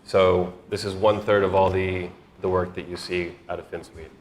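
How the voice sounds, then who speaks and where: A man with a low voice co-hosts a live stream, explaining things in a calm, matter-of-fact way. Faint background noise is present. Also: moderate pace. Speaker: co-host. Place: indoor co-working space.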